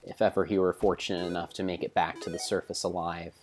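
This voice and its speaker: A man narrating video game play as a solemn, theatrical storybook tale, in measured phrases. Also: deep voice, flat delivery, faint music behind. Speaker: streamer narrating own gameplay.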